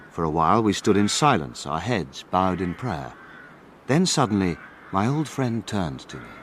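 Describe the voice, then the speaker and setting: A detective's loyal friend recounting an adventure in a radio drama. He is grave and measured yet widely varied in pitch, over clearly noisy ambience. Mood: sombre. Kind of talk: scripted first-person drama narration. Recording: clear background noise, rumbling ambience.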